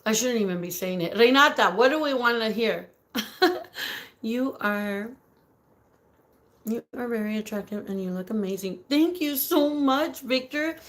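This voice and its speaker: Raspy, slow-talking woman live-streaming. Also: a little high, a bit bright. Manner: chatty, giggly, greets viewers by name; mixes in Spanish words. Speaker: livestream host reading viewer comments. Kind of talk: casual live-stream chat.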